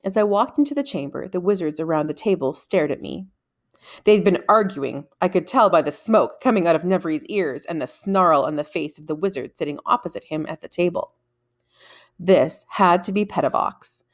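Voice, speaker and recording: A middle-aged woman reading a children's novel aloud in a measured, deliberate storytelling manner. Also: fairly low pitch, expressive. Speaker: read-aloud narrator. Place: small room at home, indoors. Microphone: desktop microphone in front of her.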